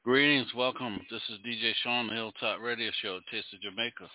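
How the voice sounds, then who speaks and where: A man hosting a radio show, who chats with his guest in an easygoing, flattering way. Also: medium pitch, a little fast. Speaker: radio DJ and host. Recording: tinny phone-like sound.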